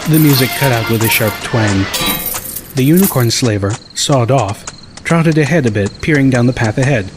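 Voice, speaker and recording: A man's voice: medium pitch, normal speed, soft background music. A man reading a first-person story as an audiobook narrator.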